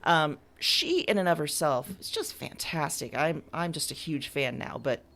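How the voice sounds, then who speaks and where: A woman's voice: low-pitched, lively intonation, moderate pace, a bit bright. A woman writer being interviewed, talking candidly and informally in a casual conversational style.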